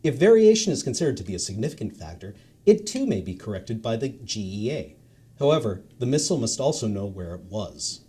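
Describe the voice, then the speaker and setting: Guy doing a dry formal instructional narrator voice, mellow tone, matter of fact. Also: medium pitch, lightly acted. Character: dry instructional narrator explaining missile guidance. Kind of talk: scripted technical narration.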